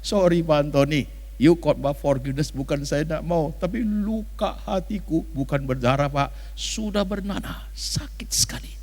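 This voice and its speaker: A man's voice: fairly high pitch, normal speed, a little mellow. A man voicing an aggrieved older wife who confides her long suffering plaintively and bitterly. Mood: bitter, pained. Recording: reverberant hall sound.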